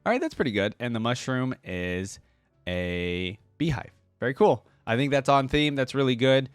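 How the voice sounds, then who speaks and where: A man with a very lively, swinging intonation and an average-pitched voice gives casual reaction commentary in a dry, close-sounding space. He talks informally, thinking aloud as he goes. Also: moderate pace, smooth, dry recording, soft background music. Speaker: video creator and host.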